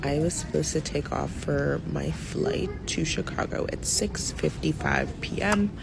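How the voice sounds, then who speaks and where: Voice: mid-range voice, measured pace, creaky. An exasperated airline passenger giving a first-person video update from an airport. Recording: noisy airport ambience.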